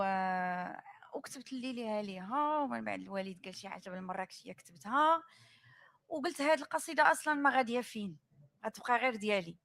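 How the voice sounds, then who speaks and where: Brisk Dutch-born woman poet, higher voice. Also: a bit bright. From Netherlands. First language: Dutch and Moroccan Arabic. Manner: thoughtful, switches between English and Moroccan Arabic while telling stories. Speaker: poet on a panel. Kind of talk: panel discussion.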